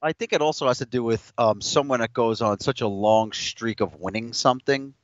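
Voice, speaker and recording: A man's voice: mid-range voice, a little fast. A man in his late thirties, a podcast guest in a heated debate, talking bluntly and combatively and cutting in over the other speaker. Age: 30s. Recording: dull, dry lo-fi sound.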